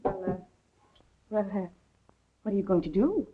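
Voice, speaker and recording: An actress playing a feisty, wisecracking young sidekick in a 1930s film, sassy and impatient. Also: female voice, mid-range voice, expressive, moderate pace, husky, soft background music. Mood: impatient, teasing. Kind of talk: 1930s Hollywood film acting. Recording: noisy, dull 1930s film soundtrack.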